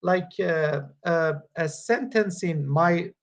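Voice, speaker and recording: A man, a Farsi speaker, reading verses and commenting on them in a study group over a video call, halting with frequent pauses and restarts. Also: a little high. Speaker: Quran study presenter.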